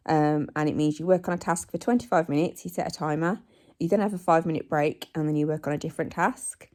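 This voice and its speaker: A woman in her forties, hoarse from a cold, chatting casually in a home vlog recorded on her phone, rambling with plenty of ums and likes. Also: low-pitched, fairly even intonation, fast, darkish tone. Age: 40s. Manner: rambling, self-deprecating, many ums and likes. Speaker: vlogger. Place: living room at home. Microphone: phone camera.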